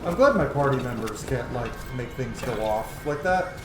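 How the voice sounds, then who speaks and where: A man's voice: medium pitch, expressive, normal speed, noisy background. A man doing informal gameplay commentary, muttering his reactions to himself as he plays. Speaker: gamer doing a playthrough. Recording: roomy mic under loud game music. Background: loud video game music and sounds.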